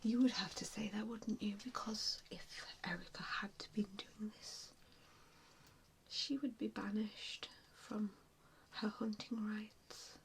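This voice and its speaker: A woman performing an ASMR roleplay as a vampire assessor, speaking in a breathy, mostly unvoiced whisper with hushed pauses. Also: mid-range voice, fairly even intonation, rough recording. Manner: slow, hushed delivery with frequent pauses. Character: vampire assessor, a wistful former hunter, gently probing.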